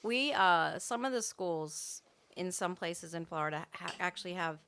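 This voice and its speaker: A woman's voice: on the low side, lively intonation, normal speed, fairly bright tone, slight background noise. An older woman, a longtime schoolteacher, explaining things in a matter-of-fact way as a podcast guest. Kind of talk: casual interview chat.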